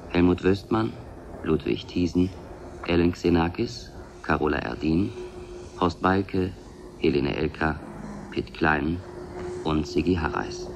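A male radio announcer reads out credits in a measured, scripted way. His voice is very deep and gravelly, and there is clear noise behind it. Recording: noisy background ambience, 1970s radio.

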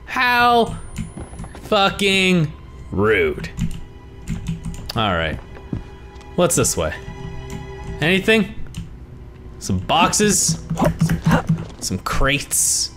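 A young man with a hoarse voice, commentating a horror game he is playing, swearing and reacting to scares. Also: sits high, huge pitch swings, very slow, pauses often. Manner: reactive, swearing, jumpy exclamations. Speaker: gaming YouTuber. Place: small room at home. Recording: game music behind the voice.

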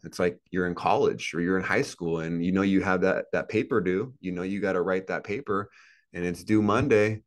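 A man in his thirties speaks from a room on a video call, his voice sitting low and deep. He talks in an earnest, motivational way, circling back and repeating his points. It is relaxed interview talk. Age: 30s. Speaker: podcast guest. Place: indoor room with a plant and a couch.

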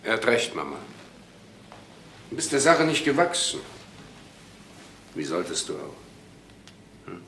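Crime drama son: deep, sharp-edged voice, impatient, condescending and brusque, on a slightly noisy old recording. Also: male voice, big pitch swings, measured pace, long pauses, full character voice. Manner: patronising, brusque. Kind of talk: naturalistic German crime drama acting.

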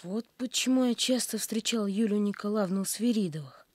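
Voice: medium pitch, a little fast, darkish tone, lightly acted. A performer playing an earnest, brooding young boy in a Soviet radio play. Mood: troubled, questioning. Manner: brooding, questioning. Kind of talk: Soviet radio drama acting.

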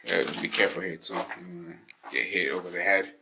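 Male explorer-vlogger, low register, informal slangy commentary, clearly noisy location audio. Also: fast, distant mic. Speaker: vlog host exploring an abandoned hospital. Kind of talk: casual vlog commentary to viewers and companion. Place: on location exploring abandoned hospital buildings and grounds. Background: clear background noise.

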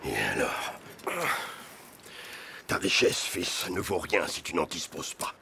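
An actor playing a stern, proud old Gaulish chieftain and father, solemn and exhorting in an animated historical drama. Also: deep voice, slow pace, vocal fry, slight background noise, exaggerated voice. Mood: fiery, proud. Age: older. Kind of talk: animated historical docudrama acting. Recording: music behind.

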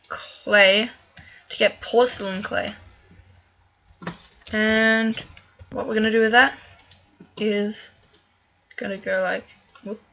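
Slightly hoarse woman narrating gameplay. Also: medium pitch, fairly bright tone, muffled recording. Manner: chatty, thinks aloud with fillers. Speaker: gaming video creator. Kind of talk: casual let's-play commentary.

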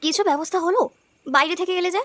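A woman playing a practical, caring young village wife in a cartoon, chatting in a brisk, homely way. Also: very high voice, a bit bright, a little hiss or hum. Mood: concerned, homely. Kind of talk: cartoon voice acting.

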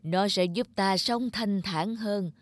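Gentle, wise cartoon grandmother played by a woman. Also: medium pitch, slow pace, lightly acted. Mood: gentle, earnest. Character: gentle, wise elderly grandmother advising a child. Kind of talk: animated moral-tale dubbing.

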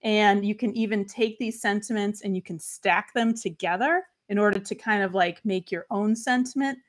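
A woman's voice: mid-range voice, normal speed. A woman in her thirties with a Boston accent, hosting a crafting livestream from her craft room and chatting upbeat with viewers by name. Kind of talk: casual live chat with viewers. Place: home craft room, indoors.

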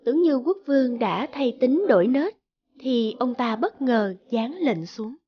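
A woman giving scripted narration for an animated fairy tale. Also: on the high side, measured pace, slightly rough, dull audio.

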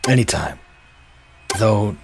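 A man voicing a protective teenage street artist in a game cutscene, calm and reassuring, with music behind him. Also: lowish voice, slow, creaky, background noise, exaggerated voice. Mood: encouraging. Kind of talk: voice acting in animated game cutscene.

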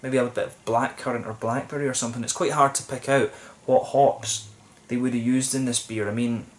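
A man's voice: medium pitch, steady tone, brisk. A young man talking to camera at a table in a small room, reviewing a beer in a thoughtful, rambling way with many ums and restarts. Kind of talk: casual solo review to camera. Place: small plain room indoors, seated at a table.

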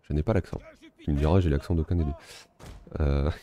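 A man giving relaxed, self-mocking commentary while playing a strategy game, thinking aloud. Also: extremely low pitch, brisk. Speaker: gaming video host. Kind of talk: casual let's-play commentary.

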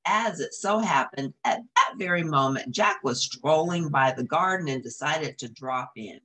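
A woman's voice: sits low, lively intonation, moderate pace, pushed and bright. A middle-aged woman reading a children's story aloud, with asides to young listeners. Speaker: storybook reader. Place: small indoor room.

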